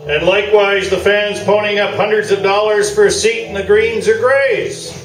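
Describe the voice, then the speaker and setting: A man's voice: high voice, steady tone, normal speed, close to an everyday voice. An actor playing a pompous, self-satisfied male sports columnist who pontificates grandly in a live comic radio play. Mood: pontificating. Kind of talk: staged talk-show debate, comic radio play. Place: live theatre, mic at a distance. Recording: roomy distant stage recording, music behind.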